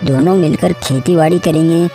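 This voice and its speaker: A woman's voice: deep voice, steady tone, moderate pace, over music. A woman voicing a lazy, sly male farmer who makes wheedling excuses in a cartoon moral story. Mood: scheming.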